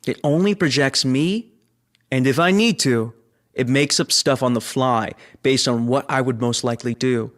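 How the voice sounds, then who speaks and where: A man's voice: medium pitch, moderate pace, close to an everyday voice. Male actor as spaceship crewman, guarded yet chummy, conversational sci-fi audio drama delivery. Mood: explaining, confiding. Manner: casual, conversational.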